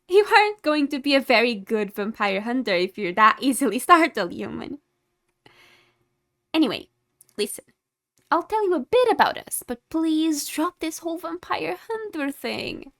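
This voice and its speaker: A woman's voice: high-pitched, fairly bright tone, full character voice. A woman playing a haughty, secretly caring tsundere vampire in a scripted ASMR roleplay, condescending and easily exasperated. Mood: flustered, scolding. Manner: condescending, exasperated, flustered scolding with sighs.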